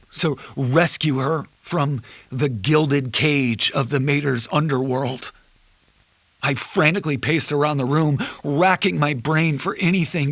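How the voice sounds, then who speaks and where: Distraught husband out for revenge, played by a man, audio drama monologue: croaky, slightly raised pitch, deliberate and brooding. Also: a bit bright, no echo, full character voice. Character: distraught, vengeful husband recounting his nightmare on tape. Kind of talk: dramatic first-person confession monologue.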